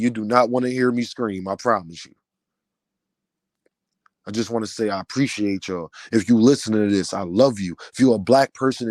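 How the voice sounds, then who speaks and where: Loud, heated man ranting with a deep voice. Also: no room sound, studio quality. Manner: loud, heated, preaching cadence. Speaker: vlogger, channel owner. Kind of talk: passionate rant to camera.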